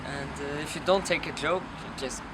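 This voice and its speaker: A man rambling philosophically off the cuff, searching for words with hesitations and some swearing. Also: on the high side. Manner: halting, searching, profane. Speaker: documentary film subject. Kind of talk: unscripted rambling philosophical musing. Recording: noisy outdoor street with traffic.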